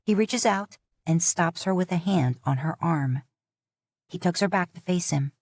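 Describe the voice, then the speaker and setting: A woman narrating fan fiction as an audiobook, in a calm, even storytelling voice. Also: lowish voice, a little slow, dry recording, studio quality.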